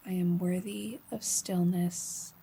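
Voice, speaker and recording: A woman guiding a slow meditation in a soft, breathy voice, often near a whisper, calm and soothing, with long pauses. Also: on the low side. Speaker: yoga nidra guide.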